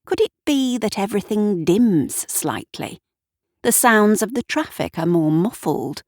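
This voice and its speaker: A woman narrating a dramatic story in a hushed, lyrical, lingering way. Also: mid-range voice, lively intonation, a little slow, no echo, clean studio sound.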